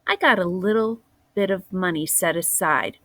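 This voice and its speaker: A woman voicing a kindly, practical grandmother from a children's storybook, plain-spoken and measured. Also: on the low side, sharp tone, dry recording. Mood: gentle, hopeful.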